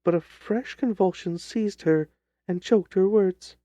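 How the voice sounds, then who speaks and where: Guy playing a troubled young lady telling a scary story, mellow highish voice, very earnest, audiobook style. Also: a little slow, no room sound. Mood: sorrowful. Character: distressed young gentlewoman recounting her sister's terrifying death.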